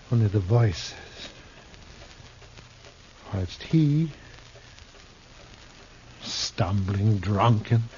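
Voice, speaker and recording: A dull, noisy old radio recording carries a bitter, desperate stage player turned fugitive. He speaks slowly, in florid, archaic phrases, with grand theatrical delivery in 1950s radio drama manner. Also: lowish voice, warm tone. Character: desperate, bitter, misshapen Shakespearean actor turned fugitive gunman.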